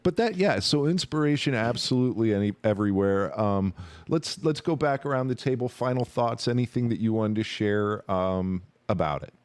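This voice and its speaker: A man's voice: fairly low pitch, animated, normal speed, dry recording. A man hosts a podcast in casual conversation. He talks informally, as if among colleagues. The sound is dry with little room. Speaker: podcast host. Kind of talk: casual chat.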